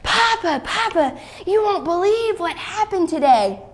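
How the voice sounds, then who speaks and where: A woman voicing an eager, earnest young boy in a children's Bible story, halting and repeating himself. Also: a little high, lightly acted. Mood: breathless excitement.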